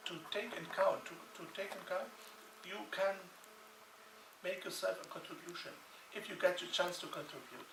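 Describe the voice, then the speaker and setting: A man from Lebanon giving a conference talk in non-native English, emphatic and repeating phrases for stress. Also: high-pitched. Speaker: conference speaker. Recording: echoey hall, distant mic, noisy.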